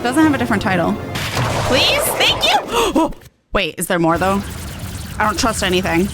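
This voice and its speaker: A woman's voice: medium pitch, wildly swooping pitch, moderate pace, loud music behind the voice. A woman playing a video game and giving casual commentary on it.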